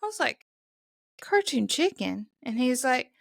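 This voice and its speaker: Gravelly-voiced Southern woman chatting. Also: high voice, very animated, normal speed, no room sound, clean studio sound. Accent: Southern US, Mississippi. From Mississippi. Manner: chatty storyteller, acts out quotes. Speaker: podcast co-host. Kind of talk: casual chat.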